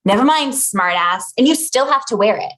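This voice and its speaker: Actress as snarky young woman, animated intonation, incredulous, comedy script read. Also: on the high side, moderate pace, poor audio. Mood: indignant, snide. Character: sarcastic, easily insulted young woman. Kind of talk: live comedy table read of a TV pilot.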